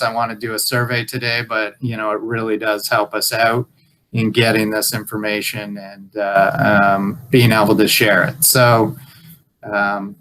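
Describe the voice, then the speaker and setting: A man giving an informal update on a conference call in a halting, hesitant way. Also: lowish voice, bright, forceful tone, slight background noise, poor audio. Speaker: local employer survey presenter. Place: group video call.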